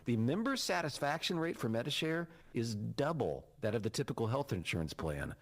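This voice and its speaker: A man reading a radio ad in a relaxed, persuasive, conversational way. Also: mid-range voice, fairly quick. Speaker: ad announcer.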